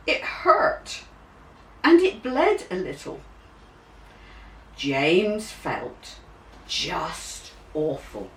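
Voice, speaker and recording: Woman storyteller, lowish voice, slow and dramatic, hugely varied intonation, children's book narration, roomy distant recording. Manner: dramatic, deliberate, drawn-out emphasis. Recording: roomy, distant mic, faint hiss.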